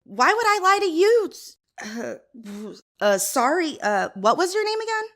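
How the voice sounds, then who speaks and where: A woman's voice: sits high, big pitch swings, unhurried, fairly bright tone, full character voice. Snobby, airheaded young woman, acted out by a woman. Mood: breezy, self-important. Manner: condescending, affected, valley-girl filler words. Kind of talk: audio drama acting.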